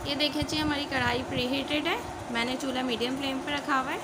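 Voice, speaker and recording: High-voiced woman narrating a home recipe. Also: fairly even intonation, fast. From Pakistan. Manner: homely and chatty, keeps saying "see" to viewers. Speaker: home cooking channel host. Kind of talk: step-by-step recipe voice-over. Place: home kitchen. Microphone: phone or camera filming a countertop from above. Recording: noisy home phone recording.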